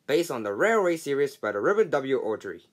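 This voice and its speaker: A man narrating a children's storybook read-along in a halting, stumbling way. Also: medium pitch, sing-song.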